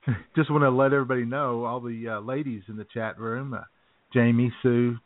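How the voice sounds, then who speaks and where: A man's voice: mid-range voice, fairly even intonation, a little fast. Man joking on tinny, phone-like radio audio. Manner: teasing, joking. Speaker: comedy radio show co-host. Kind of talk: casual comedic banter.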